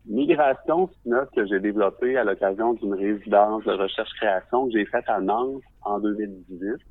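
A man from Quebec with a Québécois accent, a visual artist telling his story for a podcast in a reflective, measured way, often pausing to search for words. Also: fairly low pitch, no echo. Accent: Québécois French. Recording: narrow phone-like sound, dry.

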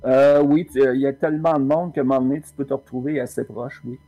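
Brief: husky, gravelly man running a tabletop game, calm describer, dark tone. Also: medium pitch, fast talker, dull audio, soft background music. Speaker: game master.

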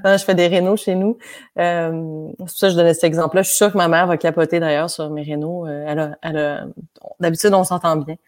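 A woman's voice: fairly low pitch, flat delivery, fast. A woman with a Québécois French accent, chatting casually as an interview guest, laughing often and restarting her sentences. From Quebec. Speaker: guest pianist.

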